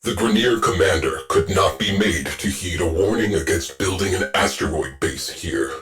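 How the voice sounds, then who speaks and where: An actor playing a grave male ship AI who gives clipped, measured military reports in a video game. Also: sits low, lively intonation, fairly bright tone, faint music behind. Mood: steady. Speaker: ship's command AI.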